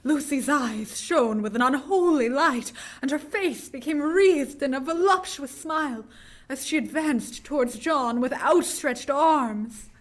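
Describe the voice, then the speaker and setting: A woman's voice: sits high, a little slow. An actress playing an anxious, tender young woman in a vintage radio horror drama, with an earnest, dramatic delivery. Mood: horrified narration.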